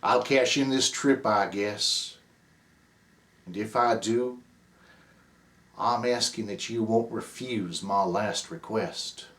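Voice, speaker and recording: A man's voice: fairly low pitch, fairly bright tone, echoey room, pitched below the performer's own voice. A man putting on a moaning dying Southern prospector. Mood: pleading. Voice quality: moaning, drawn-out, pleading.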